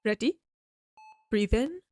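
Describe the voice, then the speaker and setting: A woman voicing a refined, friendly vampire doctor in a scripted roleplay, explaining things to the listener in a formal, measured, courteous bedside manner. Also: fairly high pitch, expressive, a little mellow. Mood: calm, soothing. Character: refined, oddly friendly vampire doctor, centuries-old aristocratic healer. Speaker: doctor character in a roleplay audio. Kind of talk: scripted comfort roleplay, spoken directly to listener.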